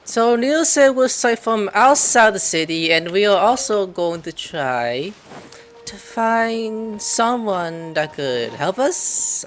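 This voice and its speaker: A woman hosting a gaming video with casual, rambling commentary and exclamations, prone to swearing. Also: low-pitched. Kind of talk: casual let's play commentary.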